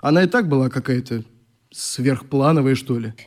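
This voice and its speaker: An actor playing a troubled, conscientious and weary young youth leader in a radio play. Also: male voice, medium pitch, moderate pace, close to an everyday voice. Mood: downcast, frustrated.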